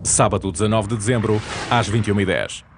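A man with a European Portuguese accent reading scripted narration for a TV channel promo in a smooth, even announcer delivery. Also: on the low side, quick pace, noisy background. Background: music bed.

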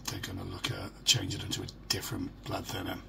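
A middle-aged British man with a hoarse, croaky voice, talking haltingly and in a downbeat way in a casual personal video diary. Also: deep voice, sing-song. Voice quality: croaky, gravelly. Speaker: vlogger. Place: small furnished room at home. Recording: noisy home phone or webcam recording.

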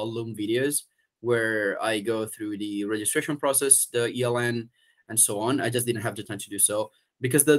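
Italian man on a video call. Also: mid-range voice. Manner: hesitant, many fillers and restarts. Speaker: event organizer. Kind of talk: casual video call meeting. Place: room at home.

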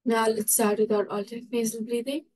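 A woman's voice: fairly high pitch, level tone, brisk, a little mellow, husky, poor audio. A woman leads a guided meditation and exercise routine, giving instructions in a small room at home. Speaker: session leader of a meditation routine.

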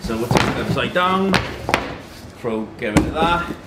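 A man in his thirties hosts a casual product unboxing with a mid-pitched voice. He talks in short phrases while handling things. The room is echoey, with clear noise and clicks behind him. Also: animated, unhurried. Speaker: host of a tech unboxing video. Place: indoor office meeting room.

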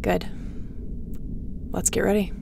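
A woman playing a tough, resolute space captain in an audio drama, speaking in a firm, dry, commanding way with a creaky voice. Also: slow pace, a little mellow, a little hiss or hum, natural voice. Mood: determined, protective. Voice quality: creaky, low-toned. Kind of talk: audio roleplay acting.

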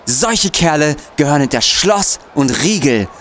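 Man as an animated, grumbling young student sleuth. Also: fairly high pitch, measured pace, noisy background. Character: easily amazed, grumbling young student amateur detective. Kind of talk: scripted radio play acting.

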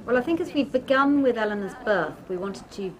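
A woman's voice: on the high side, expressive, brisk. A woman, giving an informal account as an event organiser. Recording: clear crowd chatter behind.

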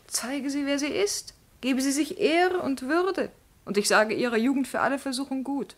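An actress playing a proud, passionate noblewoman in 1950s German radio drama, with a husky voice and a theatrical, haughty, emphatic delivery. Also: sits high, normal speed, close to an everyday voice. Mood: haughty, persuasive. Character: proud, passionate noblewoman, a duke's imperious mistress.

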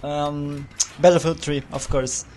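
Hesitant Brazilian man, fairly high voice. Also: level tone, a little slow, dark tone, slight background noise. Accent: Brazilian Portuguese. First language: Portuguese. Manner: hesitant, laughs often, repeats words. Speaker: video producer. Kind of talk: casual self-introduction. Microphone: voice-over over gameplay.

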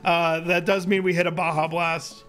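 Male streamer, early 30s, high hoarse voice, casual chat, close boom mic at home, music behind. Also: flat delivery, moderate pace, fairly bright tone. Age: early thirties. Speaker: live streamer. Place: indoor home streaming room. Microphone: close boom-arm microphone.

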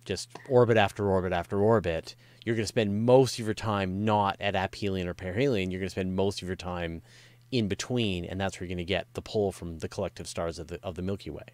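A man's voice: low-pitched, steady tone, a little mellow, dry recording. A middle-aged man hosting an interview, musing aloud at length and asking eager questions. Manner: enthusiastic, drawn-out musing questions. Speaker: host. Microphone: studio microphone.

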